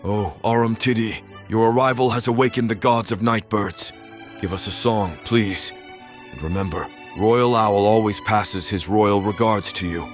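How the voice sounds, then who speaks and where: A man's voice: on the low side, normal speed, lightly acted. A man playing a pompous, condescending owl politician with a menacing streak in an audio play. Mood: flattering. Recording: music behind the voice.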